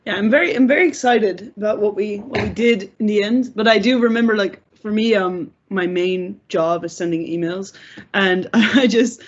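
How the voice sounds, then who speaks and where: A woman of middling pitch chats casually as a guest on a panel. Her phrasing is informal and loose, full of conversational asides. The recording sounds a little dull. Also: normal speed. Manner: informal, frequent "like". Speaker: panel guest, pantomime board member. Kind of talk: casual chat in an interview. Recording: muffled lo-fi audio.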